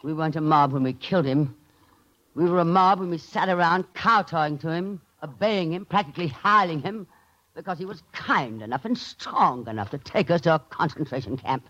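Brash, sharp-voiced society woman. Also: deep voice. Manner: theatrical, biting, wisecracking. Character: brash, sardonic, glamorous society woman adrift at sea. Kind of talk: old-time radio drama acting. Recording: dull, lo-fi old radio recording.

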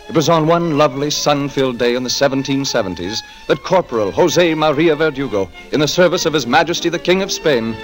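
A man's voice: medium pitch, low-quality recording. Stately male radio narrator over music. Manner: stately, storytelling. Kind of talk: scripted 1940s radio narration. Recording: vintage 1940s radio broadcast recording.

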